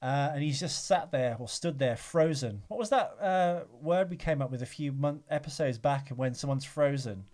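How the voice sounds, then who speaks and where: Higher-pitched man rambling through a film podcast. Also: normal speed, hardly any pauses, a little mellow. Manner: rambling, jokey, sweary. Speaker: podcast co-host. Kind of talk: casual comic film chat.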